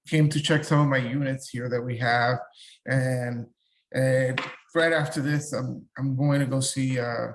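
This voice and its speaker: Gravelly-voiced man on a phone call. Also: mid-range voice. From Cuba, grew up in Miami. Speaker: guest, real estate investor. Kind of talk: casual interview. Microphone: phone on video call.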